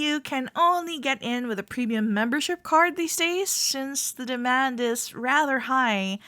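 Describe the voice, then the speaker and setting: Woman acting friendly club member, higher-pitched, slow-paced, gentle, softly teasing, reassuring, roleplay audio for the listener. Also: dry recording. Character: friendly, teasing female cuddle club member giving a tour.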